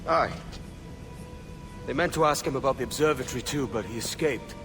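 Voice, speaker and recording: A man's voice: medium pitch, a little fast, music in the background, natural voice. A man playing a roguish, money-minded young pirate captain in a video game, flippant, colloquial and swaggering. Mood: frank, wary. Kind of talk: dramatic video game acting.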